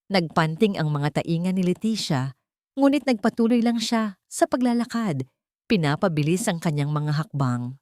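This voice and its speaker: A woman reading a dramatic first-person story as an audiobook narrator, measured and dramatic. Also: on the low side, lively intonation, darkish tone, dry recording, studio quality.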